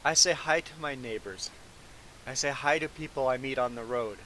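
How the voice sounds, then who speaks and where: A man with a mid-pitched voice chats casually as a live streamer. He sounds a little sniffly and rambles along in a conversational way. A faint hiss sits behind his voice. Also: moderate pace, low-quality recording. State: sniffly nose from congestion. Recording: steady background hiss.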